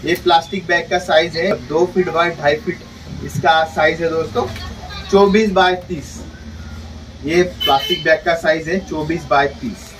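A man in his thirties runs a casual how-to lesson in his small laundry shop. He chats to his viewers like friends, his voice a touch high and picked up from some distance. Street and traffic noise hums clearly behind him. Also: steady tone, measured pace. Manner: chatty, keeps addressing viewers as friends. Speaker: laundry shop owner teaching on his channel. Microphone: camera mic at a distance.

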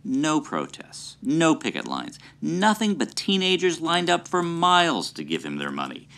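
A man's voice: on the high side, wildly swooping pitch, measured pace, vocal fry, fairly bright tone. A man hosting a scripted documentary-style show, explaining history in an earnest, explanatory way.

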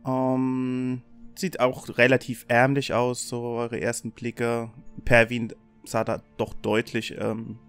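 Spielleiter mit klarer Stimme, Musik im Hintergrund. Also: male voice, medium pitch, completely flat delivery, fairly bright tone, clean tone. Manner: halting, informal. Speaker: game master. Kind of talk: narrating a tabletop game, casual chat. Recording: online voice call, background music.

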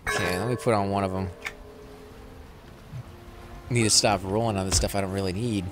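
A man's voice: sits low, steady tone, over music. A man with a Texan accent hosting a casual let's-play, chatting and rambling as he plays. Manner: chatty, rambling, quick to laugh. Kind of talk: casual gameplay commentary.

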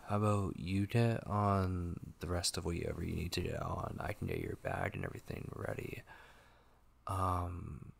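A man playing a tender, devoted young gamer boyfriend in an ASMR roleplay, speaking softly, intimately and hesitantly with a husky voice. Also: low voice, animated, normal speed, slight background noise, heavily acted. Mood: casual, attentive. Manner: soft, hesitant, intimate, with murmured asides. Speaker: ASMR voice actor.

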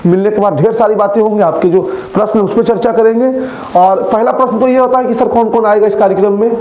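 A man's voice: high voice, steady tone, fast talker, dark tone, roomy sound, highs cut off. A young man, a coaching teacher, chatting casually to his students and speaking to them directly, filmed indoors in front of a screen.

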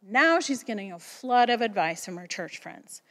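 A woman's voice: on the high side, sing-song, moderate pace, fairly bright tone. A woman in her forties preaching a sermon at a church pulpit, telling stories with emphatic lifts in her voice. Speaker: guest pastor. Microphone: gooseneck pulpit mic. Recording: long church reverb.

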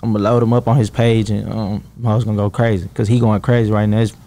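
A man in his early twenties talks in a laid-back, rambling way on a podcast studio mic. His tone is mellow and dark. He keeps asking "you know what I'm saying". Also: lowish voice, flat delivery, fast. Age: 20s. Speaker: rapper guest. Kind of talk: casual interview talk. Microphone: studio mic, headphones.

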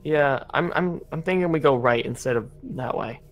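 A man's voice: mid-range voice, moderate pace, faint music behind, close to an everyday voice. A man playing an earnest, practical young son who survived a plane crash, in a casual improvised game roleplay. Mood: alert, urgent.

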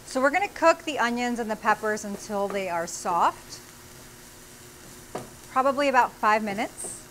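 A woman's voice: on the high side, expressive, moderate pace, a bit bright, slight background noise. Woman teaching a cooking demo. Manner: friendly step-by-step instruction while cooking. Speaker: cooking show host. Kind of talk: cooking demonstration. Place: small studio demo kitchen.

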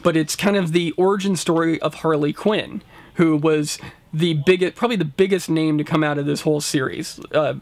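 A man in his forties chats as co-host of a review show. His voice is somewhat high and recorded dry and close. He explains his picks casually, in halting phrases that double back on themselves. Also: steady tone, no echo. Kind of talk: casual top-five list discussion. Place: indoor room lined with shelves of books and discs.

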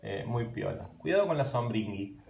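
A man's voice: on the low side, normal speed, creaky, very noisy. A man in his thirties with an Argentine accent, chatting casually and animatedly on a live stream from his home kitchen, filmed on a phone held at arm's length. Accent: Argentine Spanish. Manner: chatty, animated, gesturing while talking. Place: home kitchen at night, indoors. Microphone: phone camera at arm's length. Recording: roomy, echoey room.

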